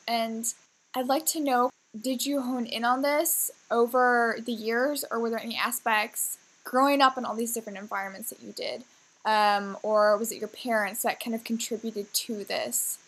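A woman's voice: fairly high pitch, moderate pace, a bit bright, low-quality recording. A woman interviewing a guest, asking engaged, affirming questions.

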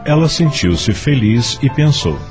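A man's voice: mid-range voice, very animated, a little slow, music in the background. A man narrating a radio soap opera in scripted storytelling style.

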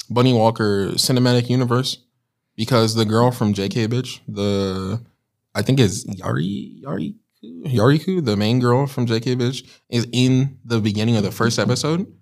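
Laid-back man chatting casually. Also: medium pitch. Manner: laid-back, explains at length with fillers. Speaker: podcast co-host. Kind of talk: casual chat.

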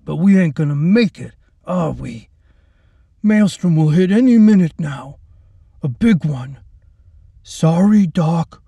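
A man's voice: a little high, vocal fry, dark tone, breathy edge, no echo, far from the mic, pitched above the performer's own voice, full character voice. A man voicing a brutal, hulking male orderly with a thick voice who talks in a gloating, leering way.

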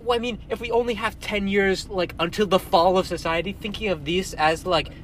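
A man's voice: high-pitched, fairly even intonation, fairly quick, a crowd in the background. A young man co-hosting a casual podcast, musing aloud and chiming in often. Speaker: podcast co-host. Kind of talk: casual chat.

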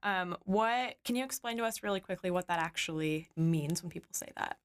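A woman's voice: lowish voice, a little fast, smooth. A woman co-hosting a podcast, friendly and upbeat, asking questions with a few restarts. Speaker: podcast co-host.

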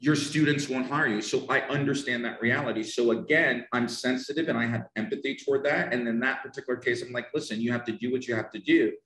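An American man with a Northern New Jersey accent talks about teaching English pronunciation, passionate and emphatic, often repeating phrases for stress. His voice sits at an ordinary pitch for a man. It carries a roomy echo around it. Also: quick pace, hardly any pauses, fairly bright tone. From United States. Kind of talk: passionate podcast conversation.

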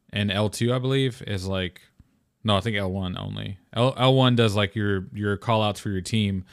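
A young man hosting his own podcast alone, with a deep morning voice, talking in a rambling, relaxed way with lots of fillers and restarts. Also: no echo, studio quality. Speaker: podcast host. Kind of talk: casual solo podcast talk.

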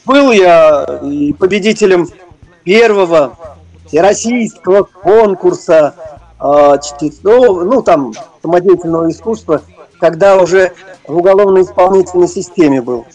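An older man reminiscing in an interview, speaking haltingly and searching for his words. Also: high-pitched, a little mellow, low-quality recording. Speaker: interview guest, retired prison-service officer and former stage performer.

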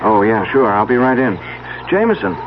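An actor playing an earnest, questioning young husband in a 1970s radio drama, intense in manner. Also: male voice, lowish voice, very animated, moderate pace. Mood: curious. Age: young adult. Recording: muffled, noisy old radio broadcast.

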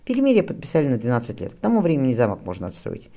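A man voicing a worried, determined wife and mother in a historical novel, fretful but brisk and affectionate. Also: medium pitch, huge pitch swings, warm tone. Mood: brisk, concerned.

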